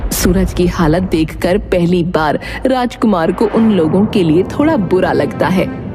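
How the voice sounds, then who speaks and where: A woman's voice: lowish voice, animated, measured pace. A woman giving scripted narration of a fairy tale as the storyteller. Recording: music under the voice.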